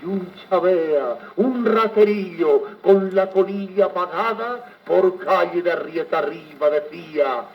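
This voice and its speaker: Theatrical Spanish poetry reciter, old gramophone record. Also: male voice, high voice, measured pace, vocal fry, few pauses, dull audio. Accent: Spanish, from Spain. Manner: declamatory, expressive. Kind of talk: theatrical 1940s-style dramatic poetry recitation.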